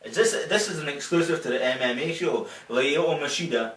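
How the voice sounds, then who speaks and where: A man's voice: a little high, a little fast, a bit bright, roomy sound, recorded from a distance. A Scottish man hosting a casual comic fight-sports chat show, joking and chuckling with stammering restarts. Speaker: show host.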